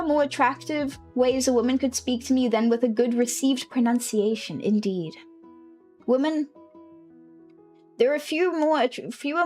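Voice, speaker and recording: A woman from South Africa with a South African English accent, chatting casually on a game stream, rambling and correcting herself as she goes. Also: high voice. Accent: South African English, with a British-leaning lilt. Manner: rambling, self-correcting, laughs often.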